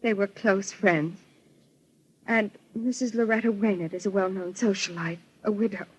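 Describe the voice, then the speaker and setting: Actress as husky, anxious bereaved daughter. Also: female voice, medium pitch, fairly even intonation, normal speed, natural voice, poor audio. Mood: earnest, troubled. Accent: American. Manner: earnest, polite, dramatic. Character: anxious, bereaved, well-bred daughter of a murdered man. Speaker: radio actress. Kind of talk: old-time radio melodrama acting.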